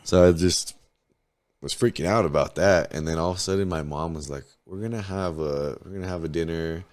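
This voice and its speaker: A hoarse man in his twenties from New Mexico, a podcast guest telling candid, profane stories in heavy slang. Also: sits low, a little fast, darkish tone. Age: 20s. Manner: laid-back, profane, storytelling, heavy slang. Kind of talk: casual candid interview chat.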